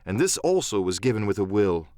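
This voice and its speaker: Animated male audiobook narrator. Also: fairly low pitch, brisk, no echo, clean studio sound. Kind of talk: dramatic scripted story narration.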